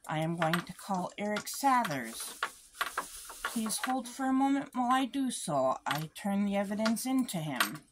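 A middle-aged woman speaking in a polite, deliberate, earnest way, as if giving a formal statement or phone message. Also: medium pitch, sing-song, noisy background. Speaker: vlogger making a phone call. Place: small room indoors.

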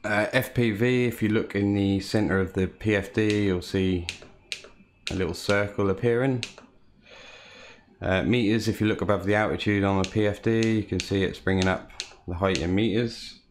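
A man from the United Kingdom, a home cockpit builder, calmly walking through his hobby build with frequent "uh" fillers. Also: fairly low pitch, a little hiss or hum. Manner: calm, unhurried, frequent "uh" fillers. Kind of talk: casual hobby walkthrough.

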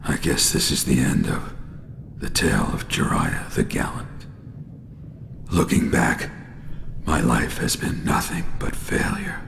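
A man playing an aging, roguish and proud ninja mentor in an English anime dub, with a gravelly voice and grandiose delivery. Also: very deep voice, very animated, unhurried, pauses often, slight background noise, heavily acted. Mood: weak, somber. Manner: theatrical, grandiose. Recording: loud music under the voice.

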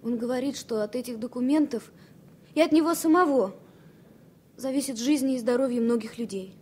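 An actress playing an earnest, composed but tender young airline stewardess in a Soviet radio drama. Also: female voice, on the high side, normal speed, dark tone, lightly acted. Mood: pleading, dutiful. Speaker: actor in radio play. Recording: noisy old radio play recording.

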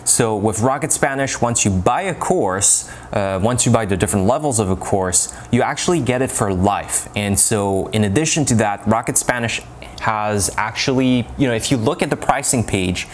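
A man's voice: on the low side, moderate pace, slight background noise. Young man reviewing to camera. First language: French. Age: young adult. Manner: talks with his hands, many fillers and restarts. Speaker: language-learning reviewer. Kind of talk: casual product review to camera. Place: home room with bookshelves and a lamp. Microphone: lapel mic.

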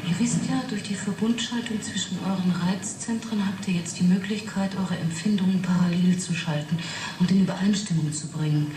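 Radio play witness: actress as mid-pitched woman, matter-of-fact but fascinated, halting delivery, roomy and noisy. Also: steady tone, close to an everyday voice. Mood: recounting, thoughtful. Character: young woman witness recounting an uncanny experiment, matter-of-fact yet fascinated. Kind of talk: German radio play acting.

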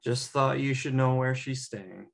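A man's voice: medium pitch, measured pace, gravelly. A man playing a sheepish, easygoing best friend in a sitcom table read, hesitant and joking. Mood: evasive. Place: dim indoor room at home on video call. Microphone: video call.

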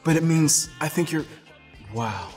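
A dim, laid-back teenage boyfriend, played by a man, slangy and smitten, halting over his words. Faint music plays under this radio drama. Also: on the high side, expressive, darkish tone. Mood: eager, awkward. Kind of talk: radio play acting.

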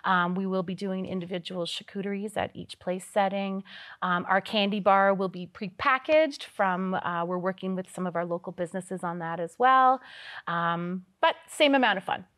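A woman's voice: medium pitch, normal speed, a bit bright. A middle-aged woman, a charity event organizer, guesting on a local TV talk show and speaking in a friendly way with many ums and you-knows. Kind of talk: TV interview guest. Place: TV studio set with couches.

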